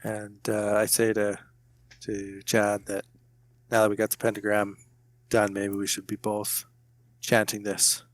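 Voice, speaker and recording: A man with a slightly low voice chats through his moves in a tabletop game. The sound is dry, with little room. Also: completely flat delivery, a little slow, pauses often, no echo. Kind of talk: casual roleplay chat.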